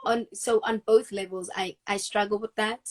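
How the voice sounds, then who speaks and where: A woman's voice: mid-range voice, steady tone, normal speed. A woman from Africa, an actress and guest, talking earnestly and reflectively in a casual video-call interview, with frequent restarts.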